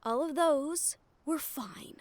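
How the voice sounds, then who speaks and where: A woman playing a wry, foul-mouthed shadow thief in a fantasy audio roleplay, nervous but frank, with dramatic pauses and sighs. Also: high-pitched, dry recording. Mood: frank, worried.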